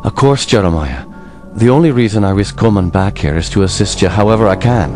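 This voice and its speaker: A man's voice: on the low side, lively intonation, brisk, a little mellow, soft background music, close to an everyday voice. A man acting as a steadfast, loyal occult investigator in a video game cutscene. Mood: earnest, resolute.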